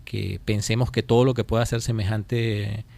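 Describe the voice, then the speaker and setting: Low, gravelly Venezuelan man, emphatic and deliberate. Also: level tone, darkish tone, no echo. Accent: Venezuelan Spanish. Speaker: interview guest. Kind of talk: political commentary in a radio interview. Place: radio studio.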